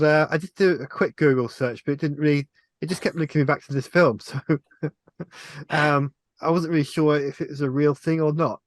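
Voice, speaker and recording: A man's voice: on the high side, no echo. A man chatting casually as a panelist in a film review on a video call.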